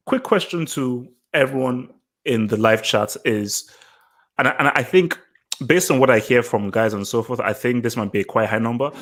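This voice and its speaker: A man with a mid-pitched voice hosts a casual live discussion. He is from Nigeria and England, and he phrases his points deliberately, often saying a phrase again for emphasis. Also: a bit bright. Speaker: podcast host.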